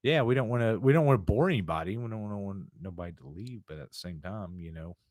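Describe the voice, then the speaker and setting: A man's voice: lowish voice, expressive, fast, a little mellow, no room sound, clean studio sound. A man co-hosting a casual livestream chat, talking in a rambling, laid-back way full of fillers. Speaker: podcast co-host.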